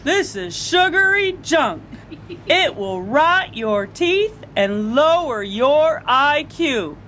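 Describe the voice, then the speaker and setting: A woman's voice: sits high, sing-song, takes it slowly, fairly bright tone, slight background noise, pitched above the performer's own voice, full character voice. A woman voicing an exasperated father who scolds emphatically in a children's storybook.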